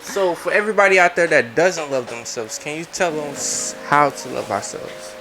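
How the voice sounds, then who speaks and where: A man's voice: a little high, normal speed, noisy background. A man hosting a casual interview, loose and joking, speaking in slang and turning to address the viewers. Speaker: interviewer host.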